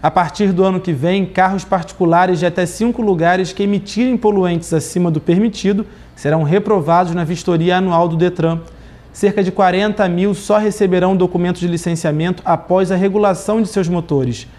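A man in his thirties reading the news as anchor in a studio, with a steady, formal delivery. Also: high voice, brisk. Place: TV studio desk.

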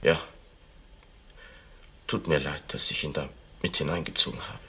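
Grieving middle-aged father, bitter, husky, tense, halting, crime radio drama. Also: a bass voice, steady tone, pushed and bright, full character voice. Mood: subdued grief. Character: bitter, grieving father who confessed to killing. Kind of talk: German crime radio play acting.

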